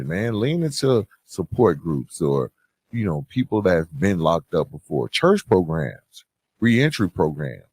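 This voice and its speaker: Male podcast host, very low voice, earnest and emphatic motivational talk, close dry mic. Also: darkish tone, husky, no room sound. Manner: earnest, emphatic, repeats phrases for stress. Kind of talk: motivational advice monologue, conversational. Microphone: close podcast microphone.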